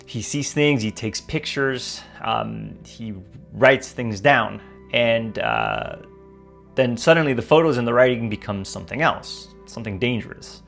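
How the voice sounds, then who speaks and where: A man's voice: mid-range voice, sing-song, measured pace, creaky, a bit bright, faint music behind. A man hosting a podcast over a video call, narrating a dramatic intro and then chatting hesitantly with many fillers. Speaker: podcast host. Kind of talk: scripted narration then casual interview.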